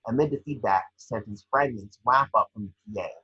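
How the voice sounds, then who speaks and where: A man with a husky voice reading scene descriptions at a table read, sometimes stumbling over the script. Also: mid-range voice, a little fast, dull audio.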